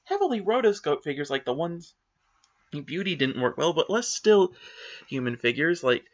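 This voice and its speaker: Man, dramatic reading of forum posts, indignant and theatrical, swooping pitch, dull lo-fi sound. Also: sing-song, moderate pace. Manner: exaggerated, indignant, theatrical emphasis. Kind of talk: dramatic reading of online comments.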